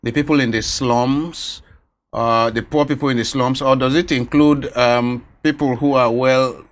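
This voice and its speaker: A Nigerian man hosting a studio interview, asking probing questions in a measured way. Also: medium pitch, fairly even intonation. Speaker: interviewer, host.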